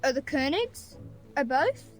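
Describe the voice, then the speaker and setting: A woman's voice: sits high, sing-song, a little slow, faint music behind. A woman voicing a sassy, streetwise boy who mocks the rules with a sly, cynical delivery in a game. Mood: sardonic. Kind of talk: game voice acting.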